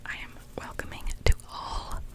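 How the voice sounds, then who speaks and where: A young woman playing a gentle, welcoming witch in a soft, soothing whispered ASMR roleplay. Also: normal speed, natural voice. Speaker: ASMR performer.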